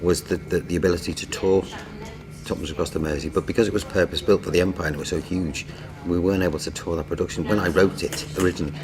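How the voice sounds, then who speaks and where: Casting: male writer interviewee, low voice, measured and slightly halting. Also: steady tone. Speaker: playwright. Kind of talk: interview talk.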